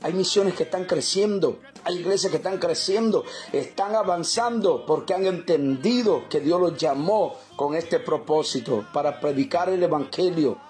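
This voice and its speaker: A man from El Salvador delivers a sermon, his voice pitched high. He preaches fervently, repeating his points and turning to his listeners as brothers. Soft music plays faintly behind him. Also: moderate pace, few pauses.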